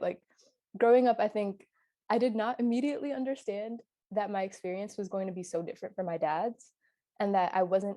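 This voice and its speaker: A woman's voice: on the low side, dark tone, dry recording. A young woman talking in a casual panel discussion, telling personal stories animatedly and laughing as she recounts them. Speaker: panel guest.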